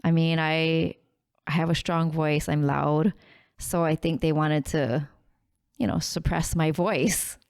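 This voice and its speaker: A woman with a notably low voice speaks into a studio mic. She is a defense attorney from Hawaii, confident and direct as she lays out the legal details. The talk is a relaxed, casual interview. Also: slow, vocal fry. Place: podcast studio. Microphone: studio microphone with headphones.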